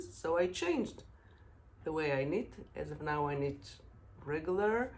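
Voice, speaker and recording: A middle-aged Israeli woman with a low voice talks slowly through her knitting podcast. Her English halts on "uh" and "um" as she hunts for words. A faint hum sits behind her. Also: a little mellow. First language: Hebrew. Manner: halting, frequent fillers, searching for English words. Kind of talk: casual knitting podcast monologue.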